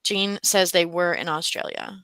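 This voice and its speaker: Bright-voiced woman chatting about a book. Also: on the low side, normal speed, clear, resonant tone, dry recording. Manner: chatty, thinks aloud, frequent fillers and restarts. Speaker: co-host of a book club livestream. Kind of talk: casual chat, reading out viewer comments.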